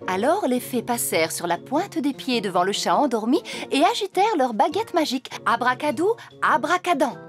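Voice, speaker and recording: A fairy queen reading a storybook aloud to her daughter in a cartoon. She reads expressively, her fairly high voice rising and falling a lot. Soft music plays under her. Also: very animated, moderate pace, hardly any pauses. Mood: storytelling. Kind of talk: French cartoon dub.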